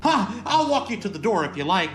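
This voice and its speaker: A woman's voice: mid-range voice, sing-song, brisk, faint music behind, natural voice. A woman playing a polite, naive male biology teacher in a radio comedy, with an earnest, mild manner. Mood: eager, helpful. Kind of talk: 1950s radio comedy acting.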